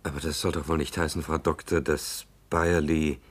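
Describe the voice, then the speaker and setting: A man's voice: rumbling low, flat delivery, normal speed. An actor playing a skeptical young man who questions in an incredulous, hesitant way, heard a little off the mic in a radio drama. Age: young adult.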